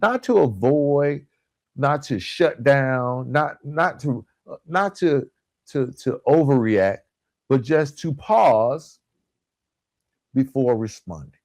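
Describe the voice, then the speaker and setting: Male podcast host, mid-pitched, self-help monologue, emphatic and deliberate delivery, very dry sound. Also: lively intonation, darkish tone, no room sound. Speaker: podcast host and relationship coach.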